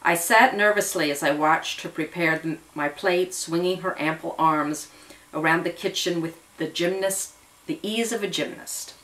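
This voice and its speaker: Woman with a lowish voice reading out a dramatic story, storyteller style. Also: normal speed, a bit bright, low-quality recording. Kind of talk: dramatic first-person story narration.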